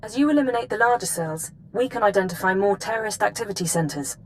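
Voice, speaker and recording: A woman's voice: medium pitch, lively intonation, fast talker, slight background noise, lightly acted. A woman playing a professional intelligence analyst in a video game, briefing in a precise, matter-of-fact, formal manner. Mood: businesslike.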